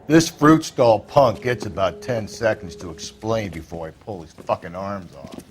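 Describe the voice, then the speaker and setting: A man's voice: on the low side, unhurried, close to an everyday voice. A man playing a cynical, hot-headed American homicide detective in a 1940s video game, blunt and slangy. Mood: cynical, menacing. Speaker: police detective. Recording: loud music and car engine behind. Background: loud music, vehicle noise.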